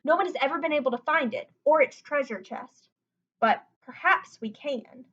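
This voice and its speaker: A woman voicing a helpful, excitable librarian in a storybook, with a dramatic manner. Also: sits high, moderate pace, lo-fi sound, pitched above the performer's own voice. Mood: excited.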